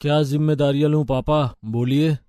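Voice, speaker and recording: A man's voice: fairly high pitch, steady tone, normal speed, dark tone, clean tone, no echo, studio quality, natural voice. A man voicing a rich, polite young college man in a story, earnest and plain-spoken.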